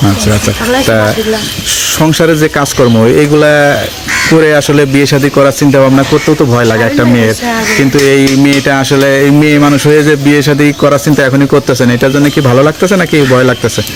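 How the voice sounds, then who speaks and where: A man's voice: mid-range voice, fairly even intonation, fast talker, background noise. A man with a Bangladeshi accent, hosting and interviewing in a vlog style. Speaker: interviewer and host.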